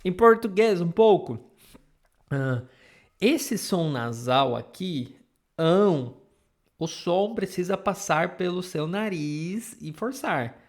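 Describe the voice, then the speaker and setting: A woman's voice: lowish voice, expressive, takes it slowly, darkish tone. A Brazilian woman with a Brazilian accent teaching Portuguese in a live online lesson, patiently repeating sounds for students to copy. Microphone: camera mic.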